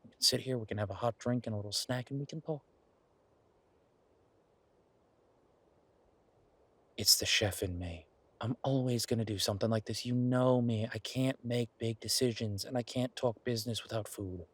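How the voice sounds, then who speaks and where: A man playing an affectionate, eager chef boyfriend in a romance audio roleplay, husky-voiced, teasing and earnest as he rambles to justify himself. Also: mid-range voice, fairly quick, no echo, natural voice.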